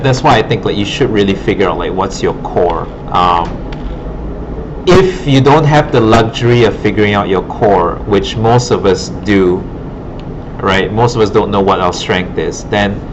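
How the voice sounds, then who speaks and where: A man giving informal, rambling career advice as an art instructor, encouraging and often checking in with his listeners. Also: fairly low pitch. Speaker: art instructor and former art director. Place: classroom at an art academy. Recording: muffled audio with clear background noise.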